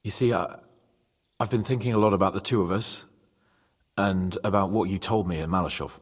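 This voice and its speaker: A man playing an impulsive, expressive young medieval nobleman in a game. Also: low-pitched, a little fast, husky, big-room sound. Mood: earnest. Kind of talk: dramatic game cutscene acting.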